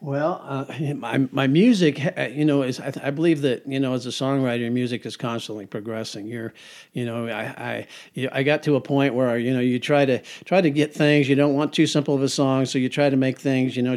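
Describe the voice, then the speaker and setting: An older man talks through his songwriting habits in a loose, meandering way. He restarts phrases, repeats words and laughs softly to himself. His voice sits at an ordinary middle pitch. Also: little pitch movement, a little fast. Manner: rambling, repeats words, chuckles often. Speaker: singer-songwriter guest. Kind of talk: casual interview answers.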